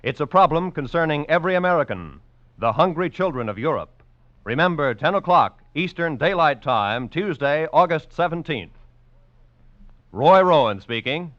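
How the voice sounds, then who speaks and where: A man announcing formally and with measured enunciation, in the style of 1940s radio. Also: on the high side, expressive. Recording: dull vintage 1940s radio with music.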